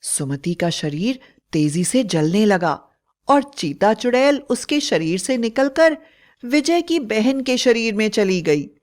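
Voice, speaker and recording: A woman's voice: on the high side, sing-song, slow, a little mellow. A woman narrating a scripted horror fairy tale in a dramatic storytelling style.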